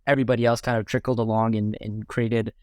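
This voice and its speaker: Smooth, clear young Canadian man. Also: on the low side, flat delivery, quick pace, no room sound. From Toronto area, Canada. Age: 20s. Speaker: podcast guest, food blogger. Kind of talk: casual interview chat.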